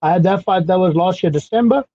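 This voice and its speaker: South African man, thirties, husky voice, slightly high pitch, chatty and relaxed, muffled lo-fi video call audio. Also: fast, no room sound. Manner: relaxed, chatty, laughs easily. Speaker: guest fighter. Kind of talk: casual video-call interview. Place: small indoor room. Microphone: headset on video call.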